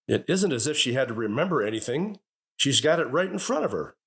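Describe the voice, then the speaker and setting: A man's voice: medium pitch, big pitch swings, brisk, fairly bright tone, slightly rough, exaggerated voice. An actor playing a middle-aged, sentimental and easily exasperated husband and father in an old radio family comedy. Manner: blustering, hesitant when sincere.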